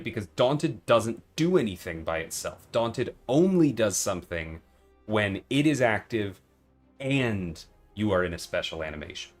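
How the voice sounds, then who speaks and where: A man hosting a livestream, talking casually and explaining things in a conversational way, often checking in with a "right?". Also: lowish voice. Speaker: livestream host and game developer.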